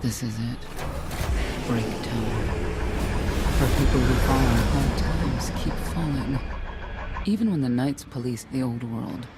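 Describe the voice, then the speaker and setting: A woman playing a stern, world-weary older knight in a video game, low-voiced, grave and blunt. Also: measured pace, long pauses, over loud music. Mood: grim.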